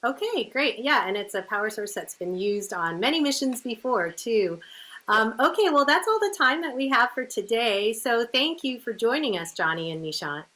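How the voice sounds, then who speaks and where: A woman's voice: a little high, lively intonation, normal speed, pushed and bright. A woman presenting scripted remarks as the host of a broadcast. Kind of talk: scripted presenting to a live-stream audience.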